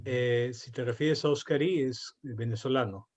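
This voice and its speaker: A man's voice: medium pitch, moderate pace, a little mellow, muffled recording. A man moderating a conference on a video call, speaking casually and modestly. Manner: modest, laughs easily. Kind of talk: casual chat.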